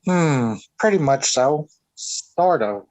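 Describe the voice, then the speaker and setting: Bashful American teenage boyfriend. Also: male voice, a little high, expressive, a little mellow, dry recording, poor audio. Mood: hesitant. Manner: halting, sheepish. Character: bashful, hesitant teenage boyfriend, naive and gawky. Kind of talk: 1940s American radio sitcom acting.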